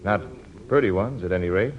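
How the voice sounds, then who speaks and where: A man's voice: lowish voice, fairly quick, slight background noise, close to an everyday voice. A man playing an easygoing gold prospector with a folksy drawl in an old-time radio musical drama. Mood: breezy, friendly. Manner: drawling, folksy frontier talk. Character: easygoing young male gold prospector wandering west in covered wagon. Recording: dull 1950s radio broadcast, music behind.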